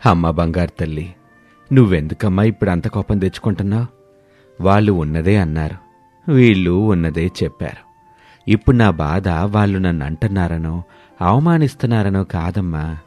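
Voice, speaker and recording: A gentle ageing village father, played by a man in a storybook tale. His voice is dark and mellow at a low-normal male register, humble and patient, over music. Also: moderate pace, lightly acted. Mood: concerned, gentle. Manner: soft, patient, pleading.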